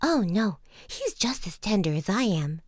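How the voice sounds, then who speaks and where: A woman's voice: mid-range voice, sing-song, measured pace, no room sound, studio quality. A woman voicing a very small, young male billy goat in a children's story, with a tiny, timid, pleading voice. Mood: eager assuring.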